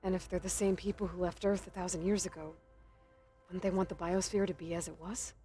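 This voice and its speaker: A woman's voice: lowish voice, level tone, fairly quick, warm tone, natural voice. An actress voicing a determined young woman hunter who questions an AI in a thoughtful, dry tone over game music. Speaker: game protagonist.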